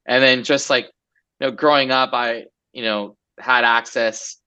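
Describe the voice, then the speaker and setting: A man's voice: medium pitch, steady tone, a little slow, pushed and bright, clear, resonant tone, dull audio. A man in his forties from Los Angeles, a guest entrepreneur chatting casually in an interview, loose and rambling with many fillers.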